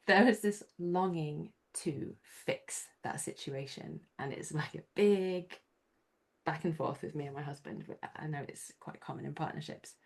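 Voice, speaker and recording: Woman host, low voice, bit hoarse, reflective and laughy, on a video call. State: slightly hoarse. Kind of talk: conversational interview.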